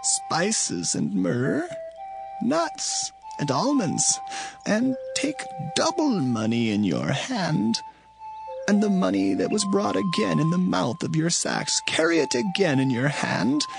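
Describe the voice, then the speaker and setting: Casting: mournful elderly father for Bible audio drama; gravelly, very slow, swooping delivery. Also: sits high, sing-song, over music, exaggerated voice, poor audio. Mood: resigned sorrow. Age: older. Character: grieving old father, biblical patriarch of many sons.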